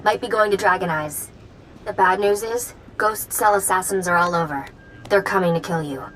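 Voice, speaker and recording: An actress playing an earnest, resourceful informant in a video game, speaking in short, clipped sentences. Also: female voice, medium pitch, moderate pace, fairly bright tone, slight background noise, natural voice, rough recording. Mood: urgent warning.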